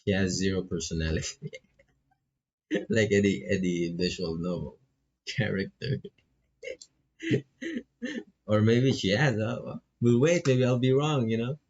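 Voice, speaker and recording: A man's voice: on the low side, fairly even intonation, measured pace, pauses often, a little mellow, highs cut off, slight background noise. A man doing laid-back, casual live commentary on a visual novel, reading text aloud and swearing freely as he reacts.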